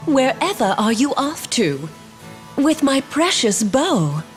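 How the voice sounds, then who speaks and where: A woman's voice: sits high, big pitch swings, slow pace, soft background music, full character voice. A woman playing a regal, indulgent fairy queen and mother in a fantasy game, formal and stately. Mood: gently chiding. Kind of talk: fantasy video game voice acting.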